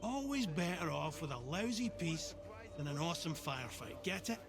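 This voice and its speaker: A male veteran guide in a survival game, impatient and dismissive. His voice sits a little high for a man and moves in lively swings, brushing off the rookie he advises. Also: normal speed, over music. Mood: brusque, advising. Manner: brusque, dismissive. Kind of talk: video game dialogue.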